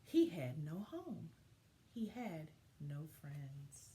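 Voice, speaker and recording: Slow, very expressive woman teacher. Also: sits low, background noise. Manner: deliberate, dramatic phrasing for young children. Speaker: teacher reading aloud to young children. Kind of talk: expressive storybook read-aloud and lesson.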